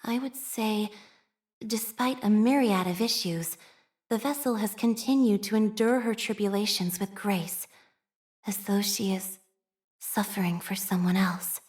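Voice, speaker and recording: A woman voicing a devout, shy young priestess in a video game, speaking formally and with measured, prayer-like phrasing and a machine-minded reserve. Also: medium pitch, a little mellow, lightly acted. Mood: solemn, reverent. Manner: formal, measured, liturgical phrasing with hesitant shyness. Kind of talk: scripted game character voice lines.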